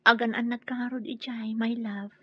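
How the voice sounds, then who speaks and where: A woman playing a soft-spoken, affectionate character in an Ilocano radio drama. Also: on the high side, level tone, moderate pace, breathy edge, dry recording, muffled recording. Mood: tender.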